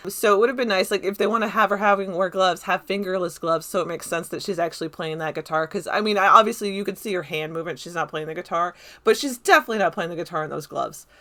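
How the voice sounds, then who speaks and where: A woman reviewing a movie in an unscripted, conversational style. Her voice sits a little low but sounds bright and forward. She rambles along with enthusiasm. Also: fast talker, hardly any pauses. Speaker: video essayist reviewing a film. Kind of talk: casual unscripted commentary.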